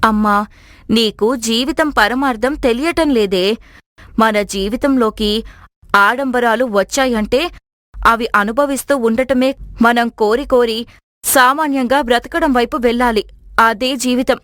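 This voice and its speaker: A woman's voice: a little high, measured pace, a bit bright, no room sound, clean studio sound. A woman voicing an earnest, stubbornly philosophical young bride in a moral story cartoon. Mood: earnest, preachy.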